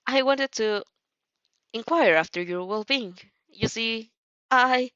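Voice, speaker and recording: A woman's voice: a little high, takes it slowly, a bit bright, clean tone, no echo, highs cut off. A woman voicing an awkward, earnest, apologetic teenage schoolgirl in a story, with hesitant, dramatic delivery.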